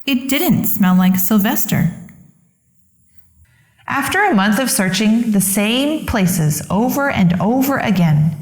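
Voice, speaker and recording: Slow, very animated woman storyteller. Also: on the high side. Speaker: children's storyteller. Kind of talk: expressive picture-book read-aloud. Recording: echoey room.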